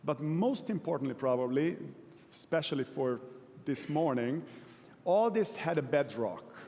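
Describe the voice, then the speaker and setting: A man from Hungary in his forties, giving a relaxed, self-deprecating conference talk to a live audience. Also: fairly high pitch, a little slow, slight background noise. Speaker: keynote speaker. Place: large event hall. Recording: long hall reverb.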